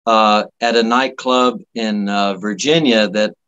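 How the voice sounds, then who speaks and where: A man from Miami, Florida, a guest musician telling casual anecdotes in a halting, folksy, jokey way. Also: on the low side, fairly even intonation, fairly bright tone, clean tone, lo-fi sound. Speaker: guest musician, singer-songwriter.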